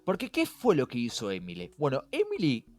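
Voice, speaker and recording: A man's voice: medium pitch, huge pitch swings, a little fast, darkish tone. A man with a Rioplatense Spanish accent hosting a casual podcast, explaining things in an engaging way with many rhetorical questions. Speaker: podcast host. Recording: music behind. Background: background music.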